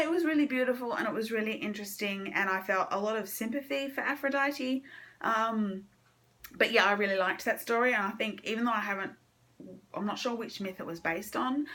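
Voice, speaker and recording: A woman's voice: on the low side, fairly bright tone. A woman gives an informal book review in the manner of a personal vlog. She sounds keen on her subject, yet she often hesitates and rephrases. Her delivery is loose and chatty, wandering from thought to thought. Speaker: book reviewer on own video channel. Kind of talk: casual chat to camera, monthly reading wrap-up.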